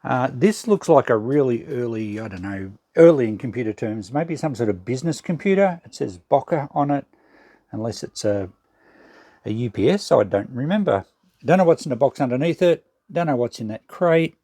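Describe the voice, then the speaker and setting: A man's voice: mid-range voice, lively intonation, moderate pace, warm tone, no room sound, clean studio sound. An Australian man casually narrating as a vlog host, musing and thinking aloud as he goes. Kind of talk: casual narrated walkthrough of a shed clean-out.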